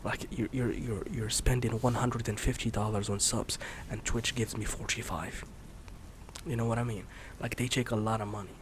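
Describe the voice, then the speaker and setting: A man in his late twenties, a streamer rambling in a soft-spoken, candid way, swearing casually and slipping into whispers. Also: fairly low pitch, fairly even intonation, normal speed, slight background noise. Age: 20s. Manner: candid, swears casually, many fillers and restarts; drifts between soft speech and whisper.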